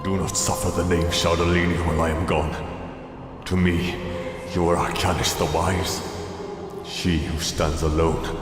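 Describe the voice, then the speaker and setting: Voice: deep voice, flat delivery, measured pace. A man playing a defiant young hero in a fantasy audio drama, desperate and solemn. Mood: hushed, solemn. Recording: echoey, under loud music.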